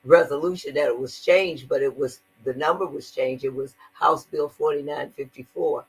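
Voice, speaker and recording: A woman's voice: low-pitched, normal speed, slightly rough, low-quality recording. An older woman speaking earnestly in a conversational interview, stressing her points and often repeating words. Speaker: guest activist.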